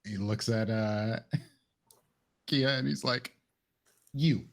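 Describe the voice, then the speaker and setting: A man's voice: lowish voice, extremely slow, vocal fry. A man playing a tabletop roleplaying game, improvising dialogue and narrating his character's actions in a casual, chatty way.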